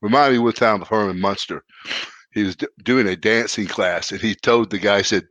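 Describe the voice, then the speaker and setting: A man's voice: lowish voice, normal speed, a bit bright, dry recording, muffled recording. Congested man rambling in casual chat. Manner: rambling, self-mocking, frequent restarts. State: congested, stuffed up. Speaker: co-host.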